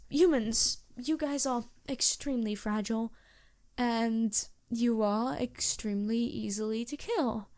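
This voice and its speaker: A woman voicing a polite, anxious and gentle vampire with formal, earnest phrasing in a fantasy audio roleplay. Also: on the high side. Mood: earnest, musing. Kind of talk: fantasy ASMR roleplay acting.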